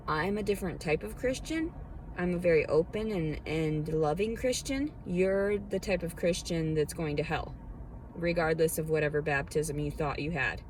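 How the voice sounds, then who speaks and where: A woman with a lowish voice vents to her viewers in a heated, defensive rant. Faint vehicle noise hums in the background. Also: darkish tone, low-quality recording. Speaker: vlogger.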